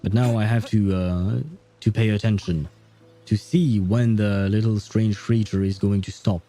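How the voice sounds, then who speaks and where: A man livestreams a game in a casual, musing way. His voice is fairly low and extremely soft-edged and dark, with light game music underneath. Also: steady tone, a little slow.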